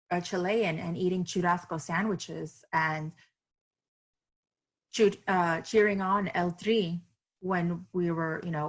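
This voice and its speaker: A woman's voice: mid-range voice, poor audio. A woman author from Miami, speaking earnestly and reflectively as a podcast interview guest, with fillers and restarts.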